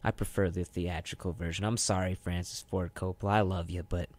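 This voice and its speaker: A young American man chats casually as a radio co-host. His voice sits on the low side and he rambles, leaning on "like" as he goes. The sound is dry, with little room to it. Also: little pitch movement, fairly quick, dry recording. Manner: rambling, heavy use of "like". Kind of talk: casual chat. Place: radio studio.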